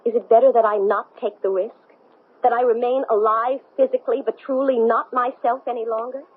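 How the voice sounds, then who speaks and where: Actress as a husky, intense, determined woman. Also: fairly high pitch, fairly even intonation, brisk, close to an everyday voice. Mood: resolute. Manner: intense, dramatic. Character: determined, anguished woman possessed by an alien. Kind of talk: 1970s radio drama acting. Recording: muffled vintage radio recording.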